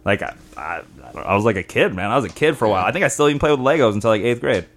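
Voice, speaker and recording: A man in his mid-to-late twenties with a medium-pitched voice. He talks in a laid-back, rambling style full of slang, as in a casual comedy chat between friends. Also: lively intonation, rapid-fire, a bit bright. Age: mid-to-late 20s.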